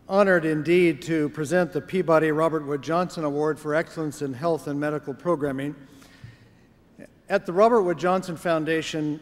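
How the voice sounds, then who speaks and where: A man giving a formal, measured prepared speech as an award presenter. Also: a little high. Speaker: award presenter, foundation executive. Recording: echoey large hall.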